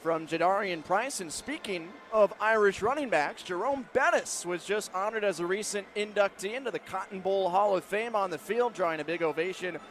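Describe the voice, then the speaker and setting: A male American play-by-play announcer pitched very high, calling football live on the radio. His delivery is dramatic, moving between measured detail and excited calls. Loud stadium noise fills in behind him. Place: stadium broadcast booth. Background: loud stadium ambience.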